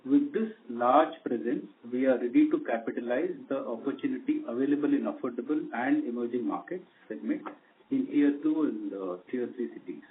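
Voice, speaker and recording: A man's voice: mid-range voice, a little fast, a little hiss or hum. A man with an Indian accent formally reading out a scripted corporate earnings presentation as a company executive on a conference call. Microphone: conference call line. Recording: tinny narrowband conference phone line.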